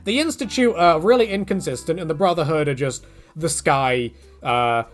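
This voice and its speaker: A man casually answering viewer questions in a rambling, animated way, his pitch jumping up whenever he slips into quoted asides. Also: moderate pace, faint music behind. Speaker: YouTube creator answering fan questions. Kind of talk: casual Q&A monologue.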